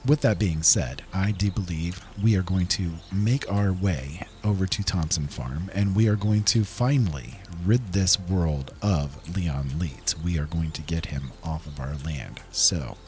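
A man hosting a gaming channel, giving casual let's-play commentary in a measured, explanatory, halting way. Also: deep voice, darkish tone, soft background music.

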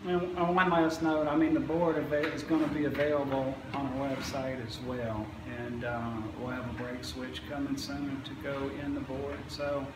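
A man in his forties giving an informal, halting, matter-of-fact walkthrough of equipment he installs. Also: medium pitch, flat delivery. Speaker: wind power equipment installer. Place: indoors in a utility room or garage, on camera. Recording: echoey room, faint background noise.

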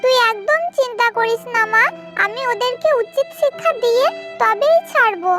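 A woman's voice: extremely high pitch, moderate pace, a bit bright, soft background music. A woman voicing a fierce, devoted mother songbird in a children's cartoon fairy tale. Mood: grieving, vengeful.

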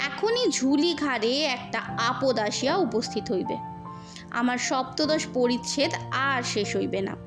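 A woman narrating a literary story in a measured, expressive audiobook reading. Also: high-pitched. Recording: music bed under the voice. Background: background music.

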